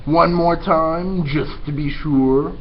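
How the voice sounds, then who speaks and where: A man voicing a suave, scheming villain rat from a storybook, with sly, pompous, drawn-out enunciation. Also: a little high, steady tone, pitched above the performer's own voice. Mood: smooth, sly. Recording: muffled recording with background noise.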